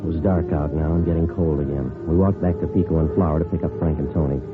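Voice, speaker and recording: An actor playing a terse, businesslike detective sergeant who narrates his case in a deadpan 1950s radio drama style. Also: rumbling low, fast. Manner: clipped, deadpan. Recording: dull vintage radio recording with music.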